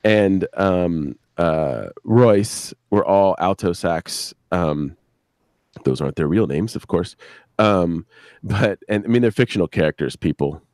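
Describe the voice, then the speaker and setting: A middle-aged man with a very deep voice, recorded dry. He rambles through casual stories as a podcast guest, stopping and starting and cursing freely. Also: a little mellow, dry recording. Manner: rambling, halting, crude swearing. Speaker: podcast creator, interview guest. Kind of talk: casual live-stream chat, storytelling. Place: video live stream with a banner behind.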